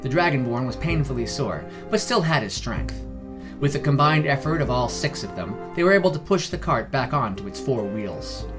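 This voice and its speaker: A male narrator reads a scripted fantasy adventure. His pitch is medium and moves about in a lively way. Music plays behind his voice. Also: normal speed.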